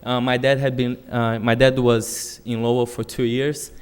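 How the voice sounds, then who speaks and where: A young man in his twenties speaks with a Brazilian accent in a smooth, resonant voice. He tells his story informally, with plenty of "uh"s. The sound carries the long reverb of a meeting hall. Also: medium pitch, level tone, moderate pace. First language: Portuguese. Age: 20s. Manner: informal, many "uh" fillers, storytelling. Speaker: alumnus speaking at public comment.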